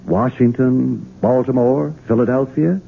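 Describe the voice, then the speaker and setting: An actor playing a smooth-talking but secretly sinister Southern husband in a 1970s radio drama. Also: male voice, mid-range voice, a little slow. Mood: coaxing, sweet. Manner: sugary, coaxing endearments turning to curt command. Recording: narrow, hissy 1970s radio broadcast recording.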